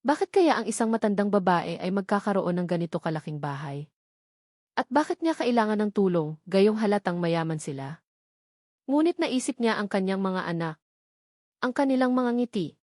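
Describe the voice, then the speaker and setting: A woman's voice: mid-range voice, lively intonation, normal speed, long pauses, a little mellow, no echo, clean studio sound. A woman reading scripted narration of a romance story as its narrator.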